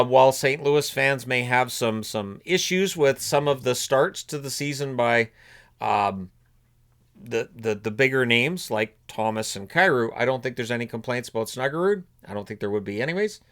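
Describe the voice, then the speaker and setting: A man's voice: medium pitch, fairly bright tone. A man with a cold, sounding a bit sniffly, hosting casual solo hockey commentary and thinking aloud with frequent fillers and restarts. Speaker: hockey commentary channel host.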